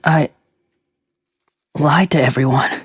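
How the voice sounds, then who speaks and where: A woman's voice: deep voice, slow pace, slightly rough, no echo, clean studio sound, exaggerated voice. A woman voicing an earnest, protective, boyish teenage big brother with some hesitancy in a video game. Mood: troubled resolve. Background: faint music.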